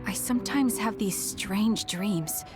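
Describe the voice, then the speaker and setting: A woman's voice: mid-range voice, takes it slowly, lightly acted. An actress playing a reserved, introspective young female soldier in a video game cutscene. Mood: pensive. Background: loud music.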